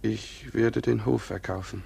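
An actor playing a grave, fatalistic farmer in a German radio play, speaking with emphasis. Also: male voice, fairly low pitch, fairly even intonation, measured pace, gravelly, a little mellow, breathy edge, full character voice. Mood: urgent, determined. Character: resolute, fatalistic farmer convinced of coming doom.

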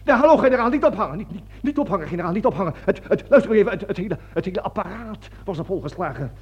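A man's voice: sits high, lively intonation, very fast, darkish tone, husky. A man acting a panicking, cowardly, self-justifying army colonel in a radio drama, blustering, stammering and pleading as he repeats himself. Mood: flustered pleading. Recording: dull lo-fi old radio recording.

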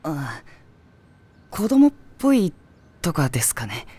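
An actor playing a meek, polite younger high-school boy in an anime audio drama, tentative and trailing off. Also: very animated, slow, background noise. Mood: hesitant.

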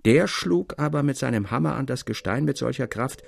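A man narrating literary fiction in a dramatic, measured storytelling manner, recorded in a studio. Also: medium pitch, vocal fry, a little hiss or hum. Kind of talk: expressive literary audiobook narration. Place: dry studio.

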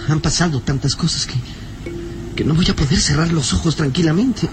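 A male actor as a curious, loyal boy companion in an old radio serial. The voice sits on the high side, earnest and anxious. Music runs underneath. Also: a little fast, natural voice. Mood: uneasy. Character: small, nervous, curious boy sidekick, respectful and loyal.

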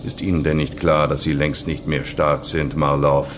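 An actor in a German radio play playing a cynical, coolly menacing Soviet chief prosecutor, with theatrical, drawn-out emphasis that can sink toward a whisper. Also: male voice, rumbling low, little pitch movement, background noise. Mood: taunting, superior.

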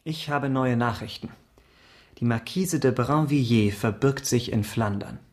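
A man acting a dutiful police officer of 1670s Paris in a German radio crime drama, speaking in a formal, measured, matter-of-fact way. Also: medium pitch, a little mellow, natural voice. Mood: confident.

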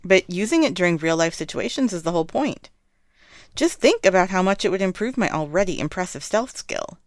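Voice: medium pitch, expressive, a little fast, no echo, pitched above the performer's own voice, close to an everyday voice. A reader voicing a playful, talkative young male cultivator who speaks eagerly and persuasively. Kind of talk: fan fiction read aloud.

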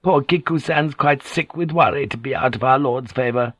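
A man's voice: mid-range voice, normal speed, a bit bright, no echo, pitched above the performer's own voice, close to an everyday voice. A man voicing a sly, aggrieved woman in an audiobook, falsely courteous, plaintive and insinuating. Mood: sly courtesy. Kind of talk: audiobook character dialogue.

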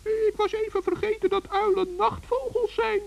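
A man voicing a small old gnome, gentle, shy and dithering, in a children's radio play. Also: very high-pitched, steady tone, normal speed, creaky, voice pushed up, full character voice. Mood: bashful, flustered. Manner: dithering, repeats himself, talks in diminutives. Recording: dull old radio recording.

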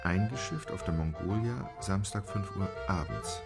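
Formal, matter-of-fact gentleman diarist, radio drama, very low, creaky, prominent music underneath. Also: animated, moderate pace. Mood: precise, dry. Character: precise, dry gentleman reciting his travel log. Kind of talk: German radio drama acting. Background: prominent brass music.

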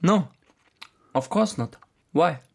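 High-voiced, reluctant Syrian sidekick. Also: male voice, animated, takes it slowly, vocal fry, slightly rough, heavily acted. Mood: defiant, annoyed. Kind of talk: radio drama dialogue in English and Arabic.

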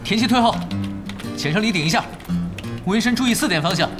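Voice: medium pitch, expressive, fast talker, music in the background, lightly acted. An actor playing a laid-back young gamer on an internet-cafe night shift in an audio drama, casual and dry. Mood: focused, commanding. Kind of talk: Chinese audio drama acting.

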